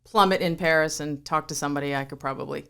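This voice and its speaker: Low, gravelly Croatian-born woman. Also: quick pace, fairly bright tone. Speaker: guest, yoga studio owner. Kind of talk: relaxed interview conversation.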